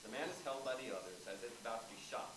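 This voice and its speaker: Male stage-direction narrator, medium pitch, scripted read, distant mic, noisy echoey room. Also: expressive, racing.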